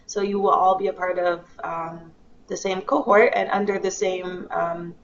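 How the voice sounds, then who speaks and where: A woman's voice: fairly low pitch, steady tone, moderate pace. A woman moderating a conference call, informally explaining things and passing questions along. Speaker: call moderator and recruitment staff. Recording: muffled, noisy conference call line.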